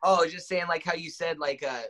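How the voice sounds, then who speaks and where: A man's voice: a little high, fairly even intonation, fast talker, pushed and bright. A man in his late twenties talking bluntly on a podcast, swearing and calling everyone "bro.". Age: 20s. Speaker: podcast guest. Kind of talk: casual podcast chat.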